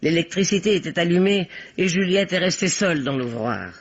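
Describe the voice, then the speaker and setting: An actress playing a bossy, self-assured older spinster who plays detective, speaking theatrically and with authority in an old radio play. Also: female voice, on the low side, lively intonation, brisk. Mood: assertive. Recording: dull, lo-fi old radio recording.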